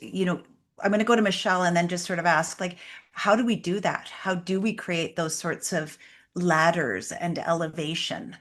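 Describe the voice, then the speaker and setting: A woman's voice: fairly low pitch, fairly bright tone. A woman moderating a panel discussion over a video call, affirming speakers and handing over the floor. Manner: affirming, passes the floor by name.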